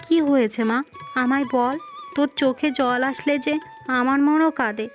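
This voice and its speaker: A woman's voice: high-pitched, normal speed, faint music behind, natural voice. A woman playing a proud, protective middle-aged mother in a melodramatic cartoon, with an emphatic way of talking that repeats words. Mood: worried.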